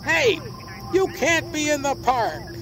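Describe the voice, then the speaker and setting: An actress playing a no-nonsense police officer in an audio drama, brusque and commanding. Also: female voice, high-pitched, very animated, takes it slowly, over music, heavily acted. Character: no-nonsense, bewildered police officer on park patrol.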